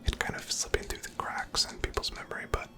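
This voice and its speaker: Whispering ASMR gamer, fully unvoiced and breathy. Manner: unhurried, musing aloud. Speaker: ASMR game streamer. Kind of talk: whispered ASMR gameplay commentary.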